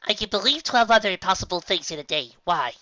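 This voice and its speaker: Woman voicing a silly bubbly pink pony, loud bright voice, lo-fi. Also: medium pitch, lively intonation, fast, pitched above the performer's own voice. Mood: playful. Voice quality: playful, silly. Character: goofy bubbly female pink pony, playful. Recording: dull lo-fi recording.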